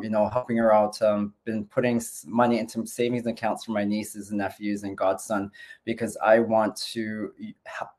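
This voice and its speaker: A man in his forties, from the Philippines and raised in Canada, sharing his business story in a relaxed, rambling way with plenty of fillers. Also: lowish voice. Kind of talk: casual testimonial on a video call.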